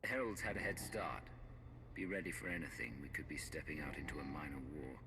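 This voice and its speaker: A man's voice: a bass voice, animated, fairly quick. A man playing a stern, guarded commander in a space opera video game, speaking tersely and seriously. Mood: alert, serious. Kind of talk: video game cutscene acting. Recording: long reverb, faint background noise.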